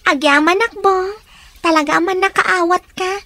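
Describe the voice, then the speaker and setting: A woman's voice: very high-pitched, slow pace, sharp tone, no room sound, heavily acted. A woman playing a young daughter in a radio drama, girlish, plaintive and childlike as she speaks to her father. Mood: earnest. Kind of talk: Ilocano radio drama acting.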